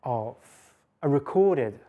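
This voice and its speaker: Woman, low-pitched voice, university lecturer, informal academic talk, conversational, self-interrupting asides. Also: expressive, slow pace, phone-quality sound.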